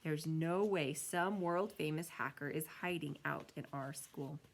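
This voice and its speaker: Skeptical schoolboy for a children's audiobook, played by a woman: exasperated, dismissive, slightly gravelly. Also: low-pitched, unhurried. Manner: sighing, dismissive.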